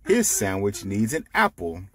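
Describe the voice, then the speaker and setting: A man reading a picture book aloud to children, explaining it simply with animated asides. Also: lowish voice, unhurried. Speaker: storytime reader.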